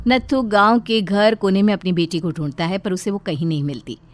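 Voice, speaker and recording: Steady woman narrating a cartoon tale. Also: mid-range voice, brisk, no echo.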